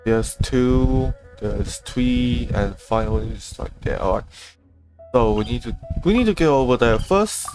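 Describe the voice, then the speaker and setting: A man livestreams a video game with casual, think-aloud commentary. His voice sits at an ordinary pitch, with game music playing clearly behind him. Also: expressive, a little slow, a little mellow. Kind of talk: casual live gameplay commentary.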